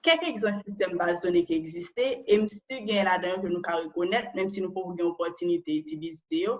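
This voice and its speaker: A woman's voice: on the low side, rapid-fire, darkish tone, lo-fi sound. A Haitian woman and data analyst giving an online presentation, explaining step by step and often ending phrases with a questioning tag.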